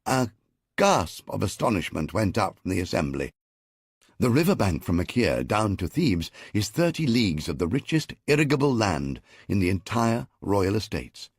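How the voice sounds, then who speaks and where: A male audiobook narrator with a low, gravelly, slightly husky voice, reading in the first person. His intonation rises and falls in a lively way, carrying a dry, wry tone through the story. The recording is close and dry, with no room sound. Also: moderate pace, dry recording.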